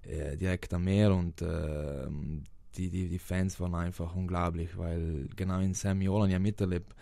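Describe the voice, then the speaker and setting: A man's voice: deep voice, level tone, moderate pace, gravelly, dark tone, no room sound. A young man from South Tyrol with a South Tyrolean German accent, answering interview questions hesitantly with many fillers and restarts. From South Tyrol, Italy. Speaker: interviewed footballer.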